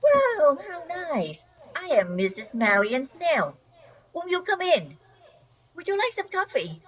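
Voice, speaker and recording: An older woman plays a gracious suburban wife in an old-time radio comedy. Her voice is high and lively in pitch, with polite expressiveness. The sound is somewhat dull and lo-fi. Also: slow pace, crowd noise, exaggerated voice. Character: polite suburban housewife, gracious new neighbor.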